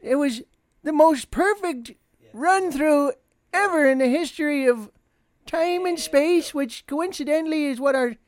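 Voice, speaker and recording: A woman voicing an excitable, theatrical character in an audio drama. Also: high voice, a little slow, dry recording, pitched above the performer's own voice. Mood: gushing. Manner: gushing, over-the-top exclamations.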